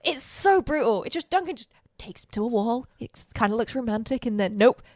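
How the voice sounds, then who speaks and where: A woman co-hosting a podcast at home, giggly and playful, breaking into laughter often. Her voice is a touch high with lively swings in pitch, and the sound is dry and close. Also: brisk, dry recording. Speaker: podcast co-host. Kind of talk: casual chat.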